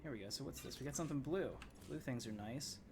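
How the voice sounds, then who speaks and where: A man's voice: mid-range voice, fast, noisy background. A man hosting a game livestream, chatting casually to viewers and rambling as he thinks aloud while playing. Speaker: livestream gamer host. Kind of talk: casual live gameplay commentary, chatting to viewers. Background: game music.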